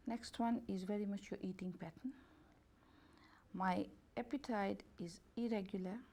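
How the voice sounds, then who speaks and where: Woman, gravelly, dark mellow tone, health therapist, reading options aloud, unhurried, slightly non-native grammar. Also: mid-range voice, steady tone. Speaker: holistic health and beauty therapist. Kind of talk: reading out a questionnaire.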